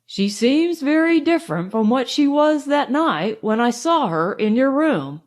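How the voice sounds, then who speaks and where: Woman reading as genteel, opinionated older gentleman. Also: high-pitched, big pitch swings, measured pace. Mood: polite. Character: polite, opinionated older gray-haired gentleman, admiring and oblivious. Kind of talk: audiobook character dialogue.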